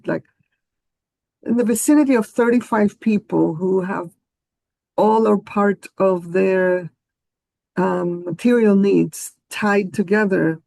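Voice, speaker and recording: A woman whose first language is Hebrew, speaking slowly and deliberately as a panelist in a discussion. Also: medium pitch, vocal fry, warm tone, no room sound. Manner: slow, deliberate, earnest.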